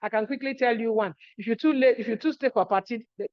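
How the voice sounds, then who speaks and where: A woman presenter finishing her conference paper, rattling off proverbs quickly. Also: fairly high pitch, lo-fi sound.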